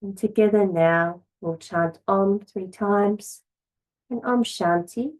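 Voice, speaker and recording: A woman yoga teacher guides a relaxation practice in a medium-pitched voice. Her delivery is slow and calm, with short instructions set apart by long, unhurried pauses. The manner is gentle throughout. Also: poor audio.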